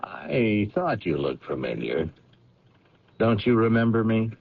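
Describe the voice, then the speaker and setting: An actor playing a courteous, patient police lieutenant in an old-time radio drama, speaking haltingly as he mulls things over. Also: fairly low pitch, big pitch swings, creaky, narrow, tinny audio, exaggerated voice. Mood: probing, recalling. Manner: halting, mulling aloud, polite.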